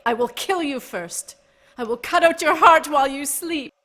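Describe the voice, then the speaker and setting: A woman's voice: high-pitched, normal speed, a bit bright, a little hiss or hum. An actress playing a proud, defiant young noblewoman in a radio drama, speaking formally with bitter scorn. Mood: defiant, fierce.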